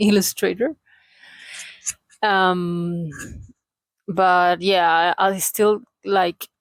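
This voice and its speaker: A female vlogger from Chile talks off the cuff in Spanish-accented English with a Chilean flavor. Her medium-pitched voice has a gravelly fry to it and is captured dry, with no room sound. She feels her way through sentences, hunting for words and gently poking fun at herself. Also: no echo. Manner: hesitant, searching for words, self-deprecating, jokey asides. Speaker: vlogger, aspiring cartoonist and illustrator. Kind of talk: casual unscripted confessional chat.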